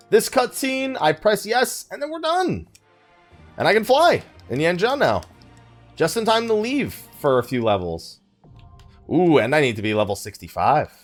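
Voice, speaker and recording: A man's voice: a little high, huge pitch swings, a little slow. A man doing casual let's play commentary as a streamer, talking half to himself as he plays. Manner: talks to himself while playing. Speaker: video game streamer. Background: game music.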